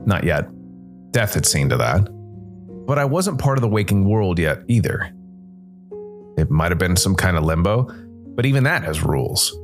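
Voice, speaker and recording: Deep, gravelly man narrating dark noir. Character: jazz musician turned ghostly noir investigator. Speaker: solo storytelling podcast narrator. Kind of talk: first-person noir scripted narration.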